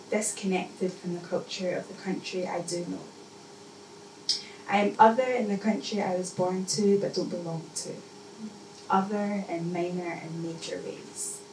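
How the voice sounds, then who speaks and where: Female poet, measured live reading with deliberate repetition, recorded from far off with background noise. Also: lowish voice, steady tone, darkish tone. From Scotland. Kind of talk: spoken-word poetry reading. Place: indoor live poetry event. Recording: echoey, noisy room, mic distant.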